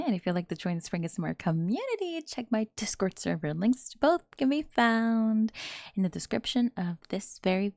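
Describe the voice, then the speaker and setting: A woman's voice: mid-range voice, a little mellow, dry recording. A woman hosts her own channel, addressing listeners directly. She reads a scripted sign-off in the manner of an online creator. The recording is very dry, with no room sound. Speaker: channel host, ASMR performer. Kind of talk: scripted outro with shout-outs.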